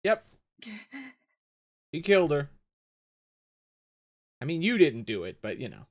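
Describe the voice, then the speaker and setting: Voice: medium pitch, sing-song, unhurried. A streamer giving casual, sarcastic reaction commentary with swearing and sing-song asides. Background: music and audio of the video being watched.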